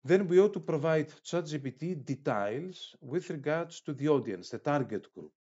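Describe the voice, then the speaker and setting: A man giving an explanatory online lecture, reading out prepared prompts in a halting way with frequent filled pauses. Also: fairly high pitch, lively intonation, mellow, dull audio.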